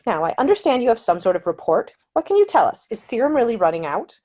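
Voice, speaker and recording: A woman voicing a prim, sharp-tongued young lady in an audiobook. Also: fairly high pitch, sing-song, fast, pitched above the performer's own voice. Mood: brisk.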